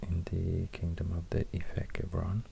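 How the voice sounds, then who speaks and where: A man with a Swedish accent murmurs ASMR narration in a low, even near-whisper. His delivery is halting and musing, close to a binaural microphone, with noise and soft clicks audible. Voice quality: hushed, breathy near-whisper. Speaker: ASMR video creator. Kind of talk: soft-spoken ASMR narration while drawing.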